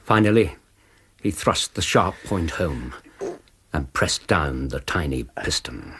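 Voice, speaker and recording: An actor playing a grave Victorian narrator, reading literary prose in a British accent for a radio drama. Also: male voice, extremely low pitch, lively intonation, slow pace, fairly bright tone, a little hiss or hum, exaggerated voice. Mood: grave, deliberate. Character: grave Victorian narrator recounting a detective's drug habit.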